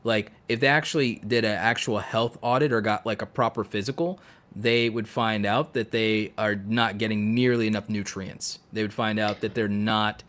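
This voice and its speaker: A man's voice: lowish voice, steady tone, moderate pace, fairly bright tone. A man co-hosting a casual podcast, talking emphatically and with strong opinions, repeating phrases to drive points home. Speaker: podcast co-host.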